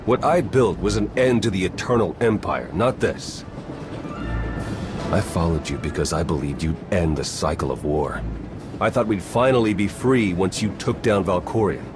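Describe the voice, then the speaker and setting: A man voices a cold turncoat agent in a sci-fi game. His extremely deep voice is grim and measured, bitter with conviction, over dramatic music. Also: close to an everyday voice. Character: male traitor spy, bitter, coldly determined former ally. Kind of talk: cinematic video game voice acting.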